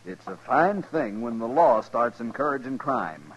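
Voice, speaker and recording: An actor playing a stern, indignant storekeeper in a 1950s radio western. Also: male voice, mid-range voice, very animated, a little slow, lo-fi sound, background noise.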